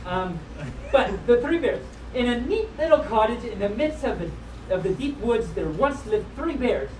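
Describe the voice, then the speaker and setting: A woman's voice: fairly high pitch, warm tone. A woman reading storybooks aloud live, with playful, wry asides as she breaks off to comment on the text. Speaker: storyteller reading picture books to a live group. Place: live session at a convention. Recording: roomy, distant live event recording with clear noise.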